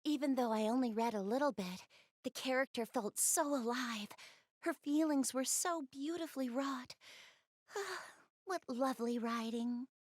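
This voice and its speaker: A shy, bookish young girl from a video game, speaks in a clearly high voice. She is gentle and earnest, a little hesitant as she talks directly to the listener. The sound is dry, free of room echo. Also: a little slow. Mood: absorbed, apologetic. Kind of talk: video game cutscene dialogue, addressing the listener.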